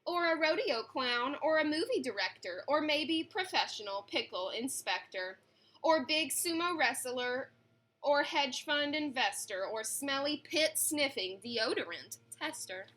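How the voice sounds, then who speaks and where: An eager, imaginative eight-year-old schoolboy from a storybook, as acted by a woman reader. The voice is high and bright. He is excitable and boastful, declaiming his grand ideas in rhyme. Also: measured pace. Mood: excited, boastful.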